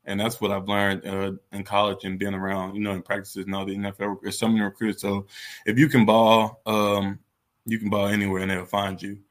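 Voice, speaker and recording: A man's voice: fairly low pitch, completely flat delivery, fairly quick. A man in his twenties with an American accent, a college athlete giving candid, casual interview answers in a conversational way. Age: 20s. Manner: candid and conversational, frequent "you know". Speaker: college football player being interviewed.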